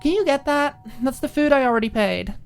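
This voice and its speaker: Woman as a female college student, prim, expressive, higher pitch, articulate, audio drama character. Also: fairly quick, vocal fry. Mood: brisk.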